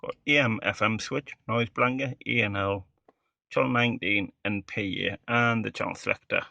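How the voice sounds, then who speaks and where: A man's voice: lowish voice, fairly even intonation, moderate pace, creaky, pushed and bright, dry recording, muffled recording. A man giving a casual, hesitant, matter-of-fact demonstration of a piece of equipment as a hobbyist presenter.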